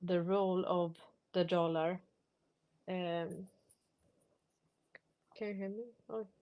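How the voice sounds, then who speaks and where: Low-pitched Swedish-accented woman host. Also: steady tone, takes it slowly, muffled recording. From Sweden. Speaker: live stream host. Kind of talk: casual unscripted livestream discussion.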